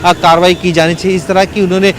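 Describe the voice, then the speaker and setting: A man giving an unscripted live news report in a slightly high voice. His delivery is halting and full of filled pauses. Faint urban traffic noise sits behind him outdoors. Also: little pitch movement.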